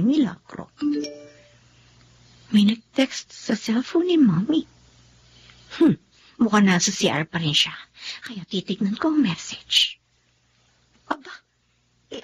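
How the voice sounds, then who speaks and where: A woman acting a suspicious, sharp-tongued young daughter in a radio drama, prying and sarcastic, muttering asides to herself. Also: medium pitch, slight background noise.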